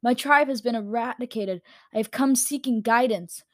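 A woman voicing a weakened, reverent young woman in a story, speaking slowly and with effort. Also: fairly high pitch, no echo, clean studio sound, lightly acted. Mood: solemn plea.

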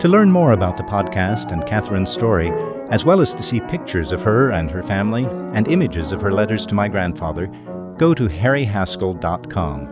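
A man hosting a podcast, reading scripted narration in a measured, formal way. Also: on the low side, very animated, music in the background. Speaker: podcast host and author.

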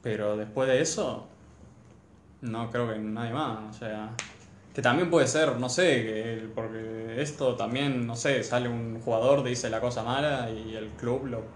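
A man's voice: mid-range voice, fairly even intonation, measured pace, slight background noise. A young man with an Argentine Rioplatense accent chatting casually and colloquially about sport, giving blunt opinions. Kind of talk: casual sports chat with friends. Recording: roomy, echoey room.

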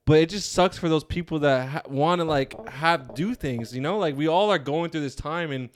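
A man's voice: fairly high pitch, moderate pace. A young man co-hosting a casual podcast, chatting loosely with lots of fillers and frequent laughs. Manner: laughs often, many fillers. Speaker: podcast co-host. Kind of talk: casual chat.

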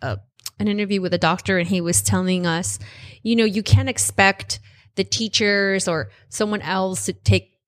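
A woman's voice: medium pitch, steady tone, normal speed, few pauses. Woman podcast host, candid and casual.

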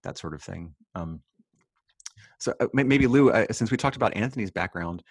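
Very low-voiced guy hosting a chat, laid back, dry close sound. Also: moderate pace, slightly rough, no echo. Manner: relaxed, loose and curious. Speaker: host. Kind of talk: casual conversation.

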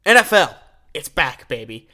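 A man's voice: a little high, sing-song, normal speed, sharp tone. A man hosting a solo sports show, giving opinionated commentary with emphatic delivery and words stretched out for stress. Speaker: sports podcast host.

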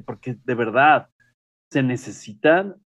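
A man's voice: medium pitch, animated, a little slow, a bit bright, dry recording. A man co-hosting a radio show, teasing and joking in a theatrical way. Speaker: radio co-host. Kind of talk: playful radio chat.

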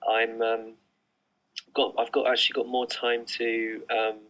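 A man's voice: mid-range voice, completely flat delivery, a little slow, a bit bright, highs cut off. A middle-aged man, an artist, answering interview questions online in a casual, hesitant way with many fillers and restarts. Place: remote online recording.

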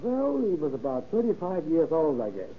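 Chatty pawnshop owner, hems and haws, kinda high lively voice, old crackly radio show. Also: male voice, moderate pace. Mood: obliging, hesitant. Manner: hesitant, hemming and hawing. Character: chatty, obliging male pawnbroker, hesitant and shrewd. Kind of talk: 1930s radio crime drama acting. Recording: muffled, noisy old-time radio recording.

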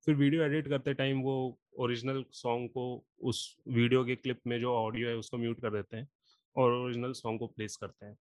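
A singer and rapper explaining patiently, step by step, in a casual podcast chat, with a sore throat from a cold. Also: male voice, medium pitch, little pitch movement, brisk, darkish tone, no echo.

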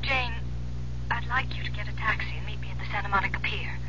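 A woman's voice: medium pitch, fast, lightly acted. A woman playing an urgent, persuasive but guarded police detective in an old-time radio drama. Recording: muffled, noisy old radio broadcast recording.

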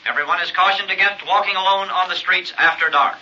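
Sharp, formal radio newsreader. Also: male voice, high voice, fast. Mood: grave, urgent. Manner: formal, clipped announcing. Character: urgent, formal radio news bulletin announcer. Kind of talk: 1960s radio news announcing in drama. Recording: muffled, noisy old radio broadcast.

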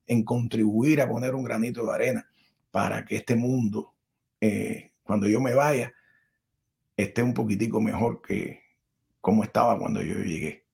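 A man's voice: lowish voice, fairly even intonation, normal speed, creaky, darkish tone, breathy edge. A middle-aged Cuban man, a Spanish teacher and podcast guest, telling his life story in a rambling, conversational way full of restarts. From Cuba. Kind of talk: conversational interview.

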